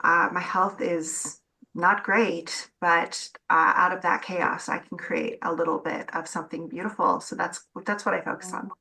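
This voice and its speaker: A woman's voice: fairly low pitch, steady tone, moderate pace, creaky, pushed and bright, low-quality recording. A middle-aged woman, an interview guest, talking candidly and reflectively about her own experience in casual conversation.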